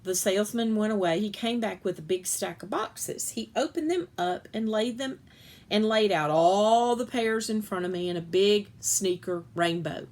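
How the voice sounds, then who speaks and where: Casting: female story reader, medium pitch, expressive read-aloud narration for children. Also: normal speed.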